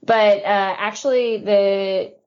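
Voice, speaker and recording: A woman speaking as an expert guest on a podcast, measured and thinking aloud as she answers. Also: medium pitch, steady tone, darkish tone, lo-fi sound, a little hiss or hum. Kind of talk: casual interview chat.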